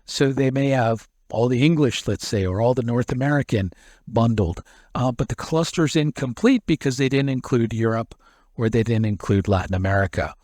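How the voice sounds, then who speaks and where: A man's voice: medium pitch, moderate pace, a little mellow, no room sound, studio quality. A man being interviewed as an expert, explaining a technical topic in long run-on stretches and often repeating words as he restarts. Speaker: SEO expert guest.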